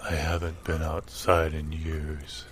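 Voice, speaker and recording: A man's voice: rumbling low, monotone, slow pace, a little hiss or hum. A man playing a gloomy, consoling oddball in improvised audio comedy.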